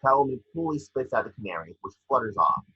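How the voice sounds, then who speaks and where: A man reading scene descriptions as the narrator of a scripted table read, with a husky voice. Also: medium pitch, very animated, quick pace, lo-fi sound. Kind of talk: scripted narration of screenplay action.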